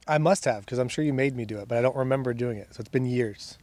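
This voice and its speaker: A man's voice: medium pitch, quick pace, a little mellow, no room sound, recorded from a distance. A man from Guatemala, a guest in a casual outdoor interview, answering in a wry, self-deprecating way with frequent laughs. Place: outdoors at a park playground. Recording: voice a bit off-mic.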